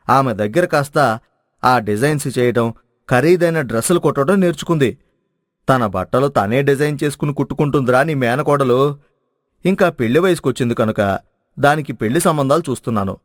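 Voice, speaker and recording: A man voicing an affectionate older village brother in an animated story, speaking in a familiar, earnest way. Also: medium pitch, brisk, dry recording, clean studio sound, natural voice. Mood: earnest, hopeful.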